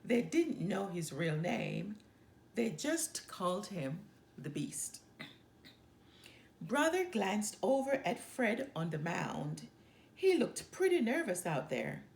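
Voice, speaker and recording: Female storyteller for a children's read-aloud, lively pitch movement, measured delivery, roomy sound. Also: gravelly. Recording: echoey room with faint background noise.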